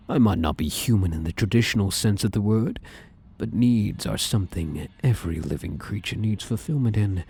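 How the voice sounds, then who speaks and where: A man playing a possessive, domineering living shadow in an intimate ASMR roleplay, coaxing and commanding in a breathy, husky voice. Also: on the low side, warm tone, a little hiss or hum. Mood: yearning, domineering. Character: possessive, domineering living shadow, male, obsessively devoted lover.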